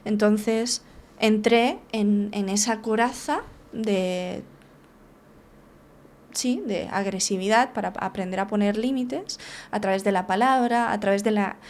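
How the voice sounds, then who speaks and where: A woman's voice: medium pitch, fairly even intonation, a little slow, a little mellow, a little hiss or hum. Frank woman recounting her story. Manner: candid, frank, with many restarts and tag questions. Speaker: therapist, guest. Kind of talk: personal storytelling in an interview.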